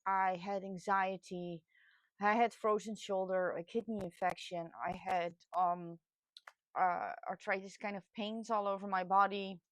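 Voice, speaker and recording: A woman in her late forties from the Netherlands with a Dutch accent, telling her own experience casually and candidly, often pausing to search for words. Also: mid-range voice, steady tone, fairly bright tone, dry recording, poor audio. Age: late 40s. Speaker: vlogger sharing personal experience. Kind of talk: casual personal talk to camera.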